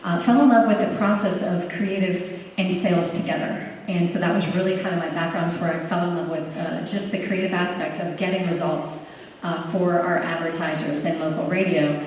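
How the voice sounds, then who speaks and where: A woman's voice: fairly low pitch, steady tone, a little fast, darkish tone. A woman in her fifties on a conference panel, telling a chatty story full of "um"s. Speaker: panelist, company founder. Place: large conference hall. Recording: echoey hall from far off, faint noise.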